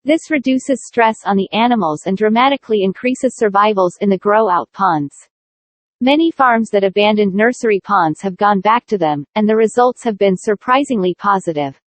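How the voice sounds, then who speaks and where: A woman reading scripted practice passages aloud in a measured, carefully phrased way. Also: medium pitch, dry recording. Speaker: reader of exam practice passages. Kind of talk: scripted read-aloud narration.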